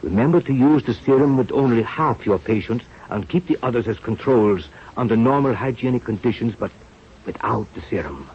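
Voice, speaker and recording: An actor playing an aging, earnest scientist mentor with a halting, solemn delivery in a radio drama. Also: male voice, mid-range voice, expressive, slightly rough. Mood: solemn, fatherly. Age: older. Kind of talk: 1930s radio drama acting. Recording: muffled, noisy old radio recording.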